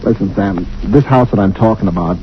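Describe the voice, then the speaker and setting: A man's voice: on the low side, brisk, lightly acted. A man playing a nervous real estate agent. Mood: worried. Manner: worried, stammering, confiding. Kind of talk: 1940s radio drama. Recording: lo-fi 1940s radio broadcast with hiss.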